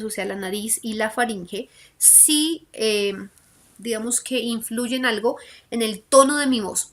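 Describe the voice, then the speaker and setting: Colombian woman teacher with a higher voice. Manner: didactic, conversational, checks understanding often with short tag questions. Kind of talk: explanatory school lesson, informal.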